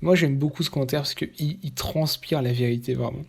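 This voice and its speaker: A man giving casual, blunt, opinionated commentary, talking haltingly with many fillers and restarts. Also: on the high side, creaky, slight background noise.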